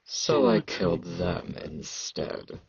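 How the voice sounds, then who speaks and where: A man playing an aloof, battle-hardened fantasy knight in an ASMR roleplay, halting and terse, with a husky, gravelly voice and sibilant S sounds. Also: a little mellow, dry recording, dull audio, full character voice. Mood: resigned, stoic. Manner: halting, terse, low-key, socially awkward.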